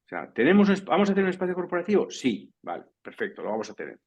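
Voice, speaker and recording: A man's voice: fairly high pitch, huge pitch swings, rapid-fire, slightly rough. Chatty male panelist, rambling. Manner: discursive, tags points with "¿no?" and "¿vale?". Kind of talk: round-table discussion.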